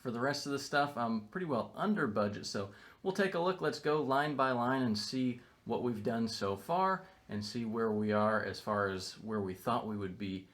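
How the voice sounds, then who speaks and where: Male vlogger, friendly and explanatory, mid pitch, walking through a rental makeover. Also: moderate pace, fairly bright tone. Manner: upbeat, explanatory, matter-of-fact. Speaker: real estate investor vlogger. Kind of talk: casual video walkthrough. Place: renovation site, indoors and outdoors. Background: construction noise.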